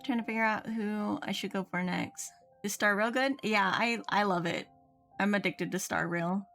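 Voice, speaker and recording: A woman's voice: mid-range voice, steady tone, normal speed, gravelly, a bit bright. Casual woman game streamer over faint music. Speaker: game livestreamer. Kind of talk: casual chat while playing, reading viewers' comments.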